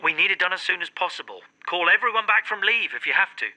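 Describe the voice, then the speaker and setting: A harried, guarded official in an audio drama phone call. His voice is fairly high and very bright, and he is firm and businesslike. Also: expressive, fast talker, heavily acted. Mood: pressing, weary. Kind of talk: horror audio drama acting.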